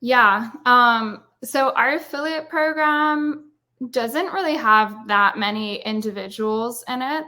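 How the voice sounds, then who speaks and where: Irish woman, bright tone, chatty filler-heavy guest on a video call. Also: fairly high pitch, takes it slowly. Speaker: guest speaker, brand marketer. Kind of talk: casual webinar interview.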